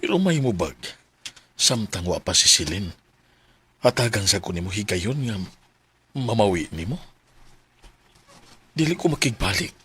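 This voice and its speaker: Low-voiced, ardent Cebuano sweetheart. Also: male voice, big pitch swings, measured pace, pauses often, pushed and bright, husky, heavily acted. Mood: pleading. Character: Ramon, ardent pleading former sweetheart. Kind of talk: melodramatic radio drama acting.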